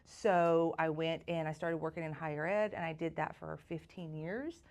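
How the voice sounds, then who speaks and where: A woman talks in a relaxed, chatty way. Her voice sits somewhat low, and she tells her story with a dry, self-mocking touch. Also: moderate pace. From South Georgia, US. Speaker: chamber of commerce president, guest. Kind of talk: casual podcast conversation.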